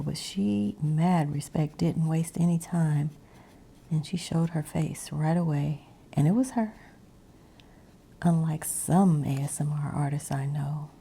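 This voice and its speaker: A woman ASMR creator rambling in a breathy whisper mixed with soft, hushed speech, meandering as she goes. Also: low-pitched, a little hiss or hum.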